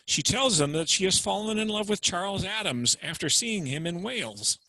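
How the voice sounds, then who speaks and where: A man briskly summarizing the scenes between readings, over a dry video-call line. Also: high voice, lively intonation, pushed and bright, no echo, rough recording.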